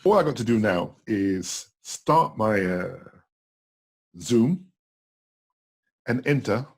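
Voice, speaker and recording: A middle-aged man giving a casual step-by-step tutorial in a chatty, simple way, with a husky voice. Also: lowish voice, animated, slow pace. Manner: simple, chatty, talking himself through each step. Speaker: tutor in a tutorial video, also acting as the student.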